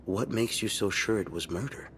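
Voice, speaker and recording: An actor playing a gruff, condescending police detective pressing a witness in a 1950s mystery game. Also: male voice, fairly low pitch, normal speed, big-room sound, a little hiss or hum, lightly acted. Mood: probing.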